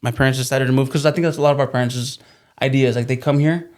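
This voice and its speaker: A man's voice: medium pitch, fairly even intonation, fast, darkish tone. A man whose first language was Spanish, a podcast guest chatting casually and rambling with lots of fillers and restarts. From California. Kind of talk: casual interview chat.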